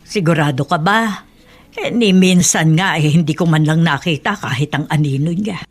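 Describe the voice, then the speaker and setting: An actress playing a caring aunt who works as a laundress, with a reassuring and practical way of talking. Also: female voice, low-pitched, normal speed. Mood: doubtful. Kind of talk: radio drama acting.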